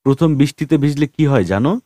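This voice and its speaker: A man voicing a calm, whimsical, philosophical wanderer in an audiobook, matter-of-fact and gently teasing. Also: fairly high pitch, a little fast, no echo, studio quality. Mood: musing, explanatory.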